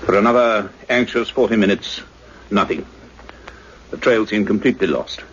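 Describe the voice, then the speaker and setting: A man playing an earnest scientist doctor in a radio play, narrating his own adventure in a measured, suspenseful, literary way. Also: lowish voice, slightly rough. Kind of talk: dramatic first-person radio play narration. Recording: dull noisy radio play recording.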